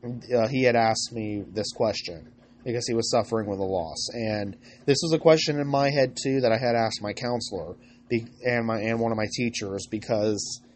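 A man hosting a podcast with informal advice, congested from a cold, speaking in a halting, rambling way and often correcting himself. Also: mid-range voice, slight background noise. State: recovering from a cold. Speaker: podcast host.

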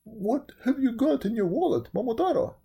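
A storyteller voicing an eager, forceful dog in a storybook tale, loud and barking in manner. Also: mid-range voice, big pitch swings, normal speed, vocal fry, slightly rough, voice pushed up, exaggerated voice. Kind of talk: animated storybook character voice.

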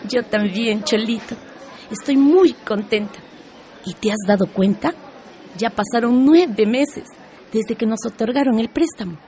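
An actress plays a middle-aged Peruvian mother in a radio soap. She is a proud, industrious shopkeeper, affectionate yet brisk. Her voice sits a little high. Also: mellow, close to an everyday voice. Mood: proud, happy. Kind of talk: educational radio soap acting.

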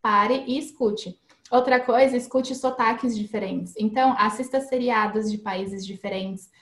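A woman's voice: a little high, a little slow, a bit bright, roomy sound. A woman guest giving casual, chatty advice on learning English, explaining with everyday examples and frequent tag questions.

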